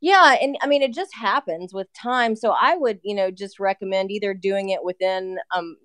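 A woman in her late thirties talks through treatments as a podcast guest, filling her sentences with "you know" and "like". Her voice is smooth and clear, recorded close and dry with no room sound. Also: medium pitch, no room sound. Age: 30s. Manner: chatty, explains with many fillers and "you know". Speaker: nurse practitioner guest. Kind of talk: casual podcast interview.

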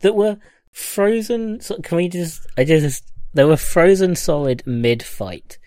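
A man's voice: on the high side, measured pace, darkish tone, dry recording, clean studio sound. A man in a casual, comedic film discussion, jokey and given to acting out mock dialogue. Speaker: podcast panelist.

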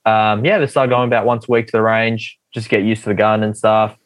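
A man's voice: lowish voice, little pitch movement, a little fast, no room sound. A young man, a guest chatting casually, rambling with many fillers. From Australia. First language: Russian. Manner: rambling, filler-heavy, easygoing. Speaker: guest athlete. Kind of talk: casual podcast chat.

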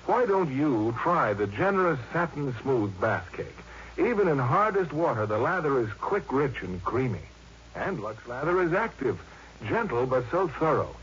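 An American man announces a radio commercial in polished 1950s style. His intonation is very lively, and the vintage broadcast is dull with faint noise. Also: medium pitch. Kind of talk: 1950s radio advertisement read.

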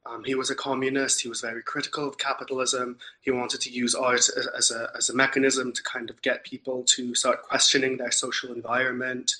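A man's voice: mid-range voice, sharp tone, rough recording. A young man from Turkey, a podcast guest, talking thoughtfully and earnestly about his views, with frequent ums and restarts. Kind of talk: casual interview talk.

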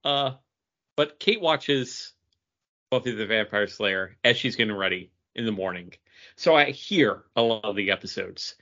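Man, podcast co-host, bright forceful voice, animated intonation, casual chat, dry joking asides, slightly muffled recording. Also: fairly low pitch, a little slow.

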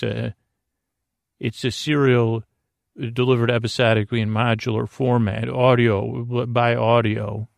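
Male sleep-podcast host: gravelly, creaky delivery, lulling and meandering, recorded dry and close. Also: mid-range voice, no echo. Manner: lulling, meandering, halting with tangents and self-interruptions. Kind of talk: rambling bedtime monologue.

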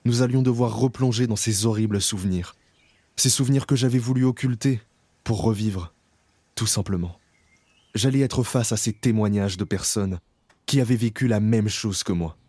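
A man playing a grown survivor who narrates his memories in a slow, reflective, somber way. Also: on the low side, mellow, dry recording, close to an everyday voice. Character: grown male camp survivor recalling his past. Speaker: first-person narrator. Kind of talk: scripted radio drama narration.

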